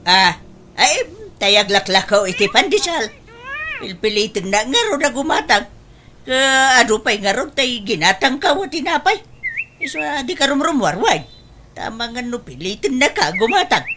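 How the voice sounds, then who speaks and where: Excitable bossy ilocano mom, middle aged, radio drama, swoopy high voice, bit of noise behind. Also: female voice, moderate pace. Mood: coaxing. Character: bossy, excitable mother of grown daughters.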